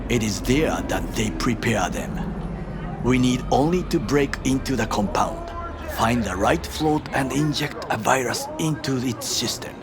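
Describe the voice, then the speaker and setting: An actor playing a stern, disciplined older bodyguard in a video game, speaking formally and precisely with a Japanese accent. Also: male voice, medium pitch, lightly acted. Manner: formal, clipped, uncontracted phrasing. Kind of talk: video game cutscene acting.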